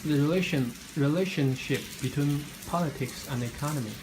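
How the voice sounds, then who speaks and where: A man's voice: on the high side, brisk. A young man, a student, interviewing a classmate and reading his questions in a hesitant way, with many fillers and restarts. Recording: noisy with frying sizzle behind.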